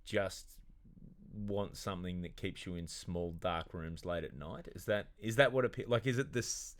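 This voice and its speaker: A man's voice: deep voice, unhurried, a little hiss or hum. A man, hosting a podcast and asking curious, teasing follow-up questions from a studio armchair into a stand mic. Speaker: podcast host. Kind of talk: casual interview chat. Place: dark studio set, seated in armchair. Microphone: studio condenser mic on stand.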